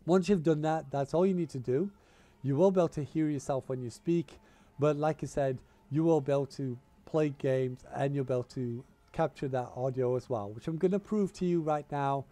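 A man's voice: on the high side, moderate pace, mellow. A man with a cold and a cough, giving a casual step-by-step computer tutorial over a headset mic and repeating simple instructions. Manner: repeats "you wanna" instructions, informal and chatty. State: has a cold and a tickly cough. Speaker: channel host giving a tech tutorial. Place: home room, indoors. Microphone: gaming headset mic.

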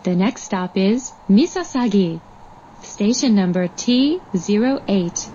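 A woman reading formal scripted transit announcements in measured, carefully separated phrases. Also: medium pitch, expressive, mellow. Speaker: automated train announcer. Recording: dull recording with train noise. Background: subway train ambience.

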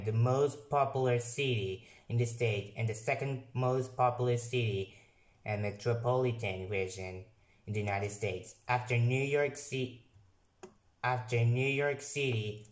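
A young man who is not a native English speaker, casually reviewing software on his computer and reading text aloud haltingly, restarting and correcting words. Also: medium pitch, reverberant. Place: room at a computer.

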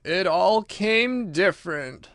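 Man acting a slow, mournful storybook turtle creature. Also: a little high, expressive, a bit bright, dry recording, studio quality, pitched above the performer's own voice, heavily acted. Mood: solemn, offended. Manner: slow, drawn-out, solemn speech. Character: mournful, drowsy, self-important old Mock Turtle creature. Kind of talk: storybook character voice.

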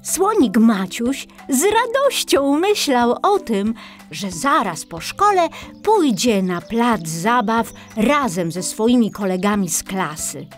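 A woman narrating a children's story in an expressive storybook style. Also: on the high side, takes it slowly, faint music behind.

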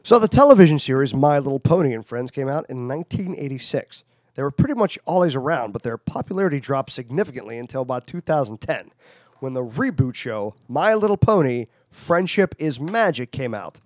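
A man's voice: mid-range voice, very animated, a little fast, a little mellow, dry recording. A man hosting a podcast, asking his friends questions with a teasing, laughing manner. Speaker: podcast host. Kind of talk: joking podcast chat.

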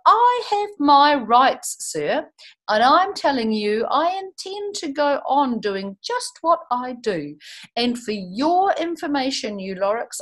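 A woman voicing a greedy, stubborn male factory owner who rants loudly and drags out his words. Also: lowish voice. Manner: loud, drawn-out ranting.

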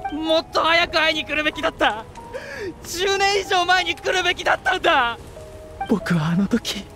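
A man's voice: extremely high pitch, fairly bright tone. An actor playing an anguished, guilt-ridden young man in an anime game, speaking with emotional, pleading drama. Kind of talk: Japanese anime game voice acting.

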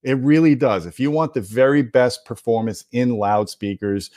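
A man's voice: mid-range voice, moderate pace, darkish tone, no echo, clean studio sound. A man hosting an audio gear video, explaining things to viewers in an emphatic, opinionated, conversational way. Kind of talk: explanatory product commentary to viewers.

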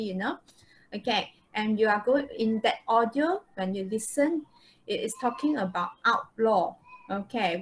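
A woman with a Malaysian accent teaching a live online English lesson, explaining step by step and checking understanding. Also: medium pitch. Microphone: video call microphone. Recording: noisy online video call.

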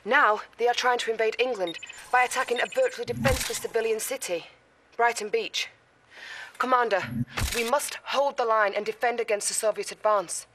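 A woman's voice: on the high side, fairly quick, noisy background, natural voice. An actress in her twenties playing an earnest, formal military intelligence lieutenant briefing a player in a game. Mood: earnest, urgent. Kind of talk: acted game mission briefing.

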